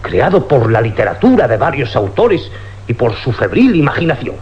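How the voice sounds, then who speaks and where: An actor playing a skeptical, impatient police commissioner in a suspense radio drama, formal and probing, with an accent from Spain. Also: male voice, mid-range voice, big pitch swings, moderate pace, darkish tone, close to an everyday voice. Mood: incredulous, alarmed. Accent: Spanish, from Spain. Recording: dull, noisy old radio recording with hum.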